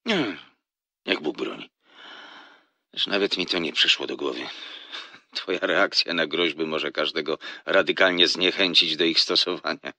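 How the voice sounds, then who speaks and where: A man performs a mysterious, evasive man from an audiobook drama. His low voice is strikingly bright and close, with no room around it, as he earnestly pleads. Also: normal speed, pauses often, no echo, heavily acted. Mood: soft warning.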